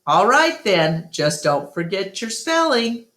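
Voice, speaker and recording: A woman voicing a kindly, gently firm father with a measured, parental manner in a children's storybook. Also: mid-range voice, very animated, voice pushed up. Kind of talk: children's storybook read-aloud.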